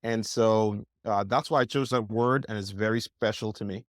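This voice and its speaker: A man's voice: on the low side, steady tone, moderate pace, no room sound, clean studio sound. A young man, a podcast guest telling his story in an eager, rambling way, full of fillers. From Bahamas. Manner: eager, rambling, heavy use of like and you know. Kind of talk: casual interview storytelling.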